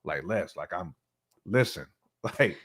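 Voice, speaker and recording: A man chats on a casual talk podcast in a fairly low voice. His intonation is very animated and rises and falls freely. He speaks colloquially and slips into imagined lines of dialogue to make a point. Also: slow pace, breathy edge. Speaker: podcast guest or co-host. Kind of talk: casual conversational opinion.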